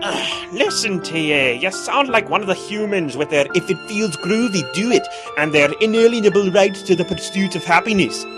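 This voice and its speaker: A man's voice: sits high, animated, normal speed, hardly any pauses. A man voicing a stern, dutiful male dwarf cleric in a cartoon fandub. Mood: indignant. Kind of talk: animated comic fandub acting. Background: prominent music.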